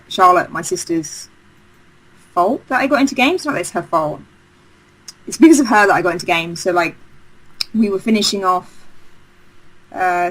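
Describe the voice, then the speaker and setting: A woman's voice: medium pitch, a bit bright, rough recording. Chatty woman, rambling enthusiastically. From Essex, England. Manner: chatty, rambling, with many fillers and restarts. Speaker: game designer guest. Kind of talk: casual interview chat.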